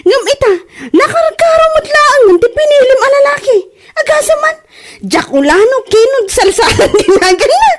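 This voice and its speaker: A woman with an Ilocano Filipino accent playing a nosy, excitable young cousin in a comedy drama, exclaiming in a whiny, mocking way. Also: very high voice, normal speed, a little hiss or hum. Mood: mocking disbelief.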